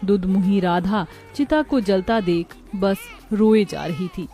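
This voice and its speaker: A woman's voice: mid-range voice, takes it slowly, dark tone, faint music behind, a faint crowd. A woman narrator reading a scripted moral story in a solemn storytelling manner.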